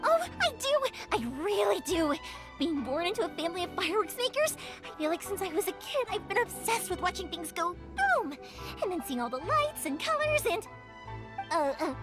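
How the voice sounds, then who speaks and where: A woman's voice: very high-pitched, sing-song, normal speed. A woman voicing a cheerful, talkative young fireworks maker in a game dub, eager to help everyone. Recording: game audio with music behind.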